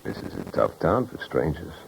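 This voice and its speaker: An actor playing a wry, tough private detective in clipped 1950s radio style. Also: male voice, very deep voice, wildly swooping pitch, moderate pace, vocal fry, full character voice. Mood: cool, tough. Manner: wry, hard-boiled, clipped. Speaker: lead actor and narrator. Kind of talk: 1950s radio detective acting, first-person narration. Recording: dull 1950s radio recording, music behind.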